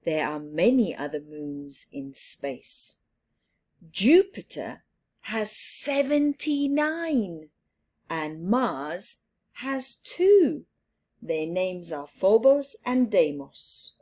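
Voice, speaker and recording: A woman's voice: on the low side, very animated, drawn-out words, dark tone, exaggerated voice. A woman reading aloud, voicing a father who patiently explains facts to his children. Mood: explaining. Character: gentle father telling his children about space.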